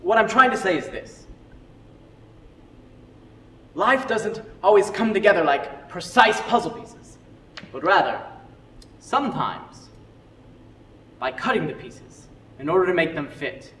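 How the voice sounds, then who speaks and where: High, animated man acting an earnest history teacher. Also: unhurried, long pauses, full character voice. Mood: lecturing. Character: earnest, opinionated male history teacher defending his methods. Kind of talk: amateur stage drama acting. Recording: echoey hall, faint noise.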